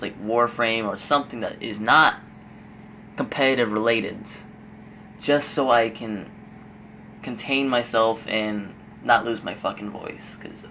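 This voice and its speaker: Rambling young man, voice a bit hoarse. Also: mid-range voice, sharp tone, a little hiss or hum. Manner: rambling, with frequent fillers and swearing. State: losing his voice, a bit hoarse. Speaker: gaming YouTuber giving tips. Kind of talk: casual gameplay commentary voice-over.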